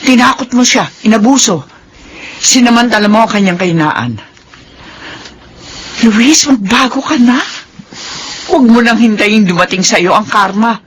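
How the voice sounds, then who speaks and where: Actress as older matriarch, firm, protective, very animated pitch, radio drama, noisy background. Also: female voice, normal speed, long pauses, lightly acted. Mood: stern, admonishing. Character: older well-off matriarch employer, protective and firmly admonishing. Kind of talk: Filipino radio drama acting.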